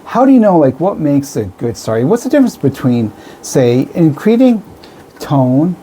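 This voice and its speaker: A man's voice: on the high side, very animated, normal speed, mellow, slight background noise. A man in his fifties or older hosting a conversational radio interview, rephrasing his questions as he goes. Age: 50s or older. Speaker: radio show host interviewer.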